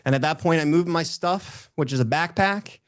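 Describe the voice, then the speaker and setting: A man with a booming voice speaking as a motivational speaker, telling personal stories and repeating phrases for emphasis. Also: moderate pace, clear, resonant tone, dry recording, clean studio sound. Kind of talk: motivational talk with personal storytelling.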